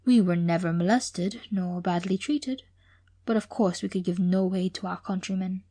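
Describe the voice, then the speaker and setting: A woman voicing a frail, very old gentleman with a soft, trained voice and a slight foreign accent, speaking slowly and wearily. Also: lowish voice, lightly acted. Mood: weary. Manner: soft, slow, weary.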